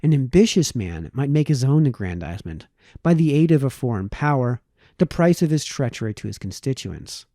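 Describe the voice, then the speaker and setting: A man's voice: mid-range voice, sing-song, brisk, warm tone, no room sound, studio quality. A man reading a formal essay aloud in the style of an audiobook narrator. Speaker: audiobook reader.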